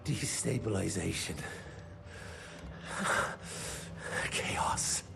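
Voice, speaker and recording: An actor playing an agitated, stammering crooked informant in a video game cutscene. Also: male voice, mid-range voice, lively intonation, takes it slowly, creaky, noisy background. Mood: conspiratorial, nervous. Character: frantic, fast-talking crooked male informant and arms trafficker. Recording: music behind the voice.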